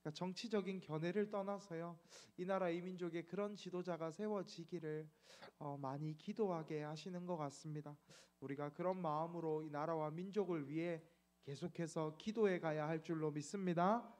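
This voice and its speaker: A man's voice: fairly high pitch, steady tone, moderate pace, darkish tone. A man in his thirties, a pastor, giving a reflective midweek sermon with frequent fillers. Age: 30s.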